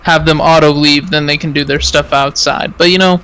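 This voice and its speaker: High-pitched, bright-voiced man streaming games. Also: fairly even intonation, a little fast, clear, resonant tone. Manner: rambling, repeats himself. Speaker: game streamer. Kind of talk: casual gaming chat.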